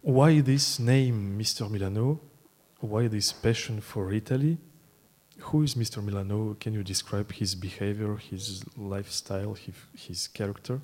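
Male festival interviewer, mellow tone, big reverberant hall, faint background crowd. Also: lowish voice, a little slow.

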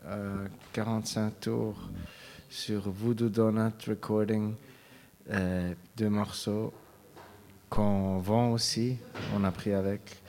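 A man, a musician answering interview questions in a halting way. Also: on the low side, little pitch movement, a little mellow. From Switzerland. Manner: halting, with many fillers and restarts.

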